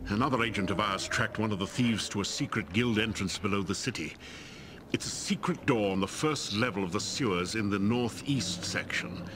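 An actor playing a grave, scholarly agent of a secret order in a fantasy video game, speaking in a formal, measured way. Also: male voice, on the low side, noisy background, natural voice. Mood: grave, informative.

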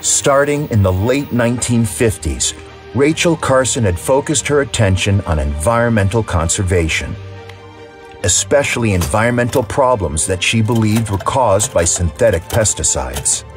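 A man's voice: fairly low pitch, expressive, moderate pace, soft background music. A man reading scripted documentary narration as the narrator.

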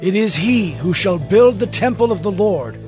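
A man's voice: sits high, expressive, normal speed, a little mellow, soft background music. A man playing an earnest, awed prophet recounting his visions dramatically in an audio Bible. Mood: proclaiming.

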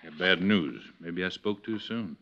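A gruff police inspector with a deep, very hard-edged voice. He talks bluntly, with a fatherly, practical streak, in vintage radio crime style. The old recording sounds dull. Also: moderate pace, bright, forceful tone, full character voice. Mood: grave. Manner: blunt, plain-spoken. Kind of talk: 1940s-50s radio crime drama acting.

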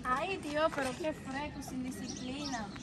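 Woman doing a young egg seller pleading, high voice, noisy street. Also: steady tone, measured pace. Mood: weary. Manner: pleading, sighing. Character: young woman selling eggs on the street for her sick brother, pleading. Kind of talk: amateur street drama acting. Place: outdoors on a sunny street.

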